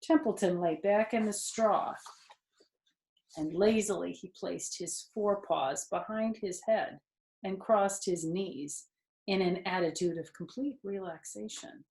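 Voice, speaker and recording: A woman reading a children's story aloud expressively, pausing to explain things to young listeners. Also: mid-range voice, darkish tone. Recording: roomy indoor echo.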